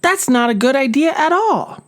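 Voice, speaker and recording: Woman doing a bossy but kind hedgehog for a kids story, emphatic, swoopy pitch. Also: animated, moderate pace. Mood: concerned. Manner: emphatic, reassuring. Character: sensible, bossy, caring hedgehog friend in a storybook.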